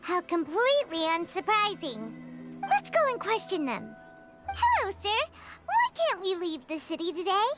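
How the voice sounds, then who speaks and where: A woman voicing a small, excitable, childlike fairy companion in an animated video game, with a squeaky, emotional delivery. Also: moderate pace, heavily acted. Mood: chirpy, determined. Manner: refers to self in third person, emotional. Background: background music.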